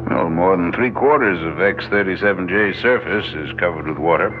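An actor playing an older, cautious, rational space scientist in old-time radio drama style, measured and deliberate. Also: male voice, sits low, natural voice. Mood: calm, wry. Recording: dull old radio, music behind.

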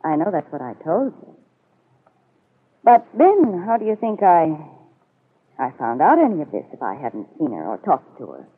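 A woman's voice: low voice, very animated, moderate pace, long pauses, husky, full character voice. An actress playing a confident, scheming middle-aged woman in a 1940s radio soap, cool and insinuating. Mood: coolly threatening. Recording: muffled old radio broadcast.

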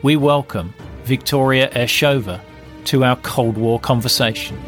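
A man's voice: mid-range voice, a little slow, faint music behind. A man hosting a podcast, reading scripted introductions and putting questions to a guest as interviewer.

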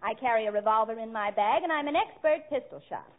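A woman's voice: a little high, quick pace, lightly acted. An actress playing a brazen, mock-indignant, man-hungry young single woman in a 1940s radio comedy. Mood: boastful. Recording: narrow-band vintage radio recording.

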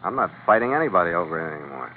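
A man's voice: low voice, sing-song, racing. A male actor playing a tough, sardonic sea captain with an American accent, terse and hardboiled in the style of 1940s radio drama. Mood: wary, sardonic. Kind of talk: 1940s radio acting, hardboiled first-person narration. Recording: tinny old radio recording, loud music.

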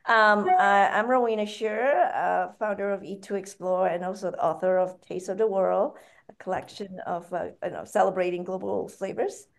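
A woman's voice: mid-range voice, fairly even intonation, moderate pace, vocal fry. A woman from Malaysia with a Malaysian accent, speaking conversationally as an interview guest. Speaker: podcast guest, author and food company founder.

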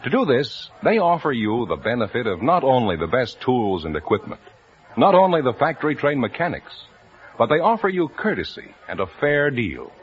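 A man announcing on a radio quiz show and reading sponsor ads in an emphatic, booming delivery. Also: very animated, moderate pace, husky. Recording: dull old radio broadcast.

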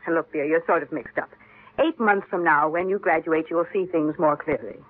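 A woman's voice: low voice, fairly quick, no room sound. An actress playing a composed, patronizing stepmother in old-time radio drama. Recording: tinny, dry vintage radio recording.

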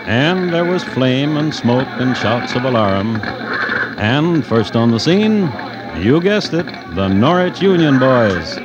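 An actor playing a wry, folksy storyteller who narrates a radio tale in deliberate, suspenseful phrases. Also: male voice, mid-range voice, very animated, dull audio, heavy background noise.

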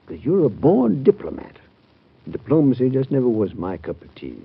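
An American man playing a blunt, folksy, fatherly former president in an old-time radio drama, with a gravelly voice. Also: medium pitch, huge pitch swings, moderate pace, full character voice. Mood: wry. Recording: tinny old radio show recording.

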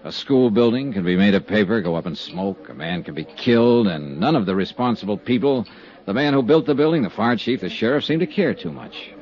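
A dull, vintage radio recording carries an actor as a hard-boiled American insurance investigator. He is a man with a low voice and lively inflection. His delivery is clipped and steady, in 1950s radio drama style. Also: a little fast, natural voice. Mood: calm, probing. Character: tough, steady male freelance insurance investigator. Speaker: lead actor and narrator.

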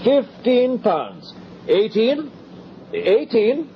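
A man's voice: very high voice, wildly swooping pitch, drawn-out words, heavily acted. A man acting a formal auctioneer in a radio drama, declaiming lots and bids. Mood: formal, brisk. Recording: muffled, noisy old radio drama recording.

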